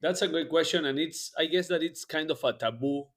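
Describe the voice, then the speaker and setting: A man's voice: on the high side, a little fast, fairly bright tone. Man chatting, many fillers. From Argentina. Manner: rambling, frequent fillers and restarts. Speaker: podcast guest. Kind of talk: casual interview chat.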